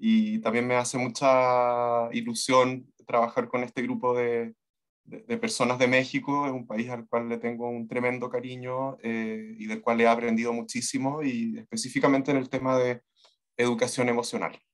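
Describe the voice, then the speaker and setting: A man with a Chilean Spanish accent presents an online lecture as an educator. His voice sits at a middle pitch, and he builds his sentences in a halting, searching way. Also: little pitch movement. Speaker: teacher trainer giving an online talk. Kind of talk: webinar presentation to students. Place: remote online video call from Santiago.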